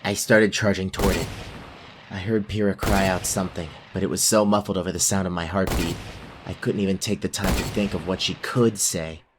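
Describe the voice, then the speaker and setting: A man's voice: sits low, fairly even intonation, brisk, background noise, natural voice. A man playing a brave but self-doubting young fantasy warrior, narrating his own story in an audio drama. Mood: earnest narration.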